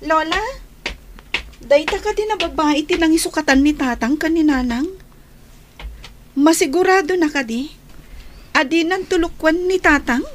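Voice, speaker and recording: Woman playing high-pitched, disapproving grandchild. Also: normal speed, long pauses, slight background noise, lightly acted. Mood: suspicious. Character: young grandchild, suspicious and disapproving. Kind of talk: radio drama acting.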